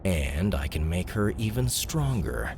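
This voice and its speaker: A man voicing a determined young gamer in an audiobook, speaking in a quiet, thoughtful way. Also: low-pitched, sing-song, a little slow, creaky, noisy background, full character voice.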